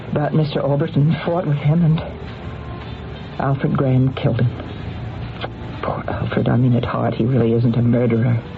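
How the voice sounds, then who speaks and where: An actress playing a scheming businesswoman who feigns innocence, halting and theatrical, in an old-time radio drama. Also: deep voice. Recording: muffled vintage radio with music behind.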